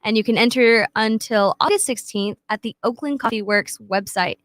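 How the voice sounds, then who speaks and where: Non-native English-speaking woman, news reader on a radio show, slightly high voice, dry studio. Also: moderate pace, a bit bright, no echo. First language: not English. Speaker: radio co-host reading the news. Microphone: studio microphone.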